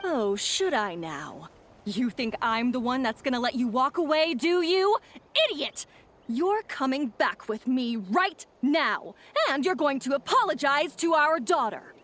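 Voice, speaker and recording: High, fiery scolding wife. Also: female voice, animated, a little slow, a bit bright. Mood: scornful, commanding. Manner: scolding, emphatic. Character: fiery betrayed wife and mother, bossy. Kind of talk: video game voice acting.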